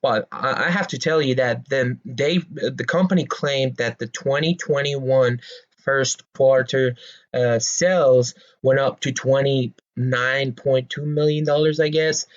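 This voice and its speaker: A man's voice: mid-range voice, unhurried, highs cut off. A man whose first language is Persian, speaking English with a Persian accent, casually walking through a stock analysis with plenty of hesitant fillers and restarts. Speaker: investing video host.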